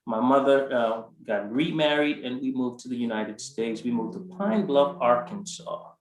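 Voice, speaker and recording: A man in his forties from Ghana, a guest describing his life in a halting way, with many fillers and restarts. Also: medium pitch, reverberant, rough recording. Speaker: art collector guest. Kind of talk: conversational interview answer.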